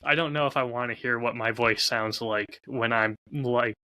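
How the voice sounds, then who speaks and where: A man's voice: mid-range voice, fairly even intonation, normal speed, pushed and bright, smooth, dry recording. A man co-hosting a podcast in casual banter, with a dry, self-deprecating manner. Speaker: podcast co-host.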